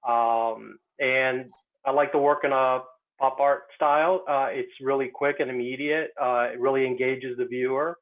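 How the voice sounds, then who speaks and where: A man's voice: mid-range voice, steady tone, unhurried. A man, an artist, speaking in a casual online talk, hesitant and full of fillers. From United States. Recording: tinny phone-like line.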